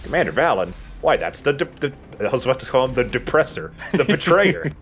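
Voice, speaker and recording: A man's voice: medium pitch, big pitch swings, brisk, a bit bright. A man giving casual, jokey commentary while he plays a video game, swearing now and then. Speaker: let's play host playing the game. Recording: game music behind the voice. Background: video game music.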